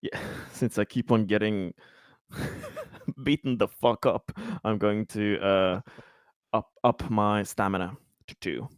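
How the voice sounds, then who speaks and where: Close and dry, a man's low voice moves slowly through casual game chat. He is a player with a wry, laid-back, sweary manner. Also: clear, resonant tone, no room sound.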